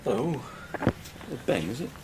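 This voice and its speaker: An actor playing a mild, knowing travel agency boss in an audio drama, with condescendingly polite delivery. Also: male voice, mid-range voice, very animated, moderate pace, background noise, natural voice. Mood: patronising, amused. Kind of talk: naturalistic audio drama acting.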